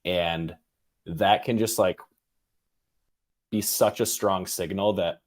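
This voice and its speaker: A man with a low-pitched voice hosts an interview. He talks casually and haltingly, building his thoughts aloud in short fragments. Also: steady tone. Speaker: interview host.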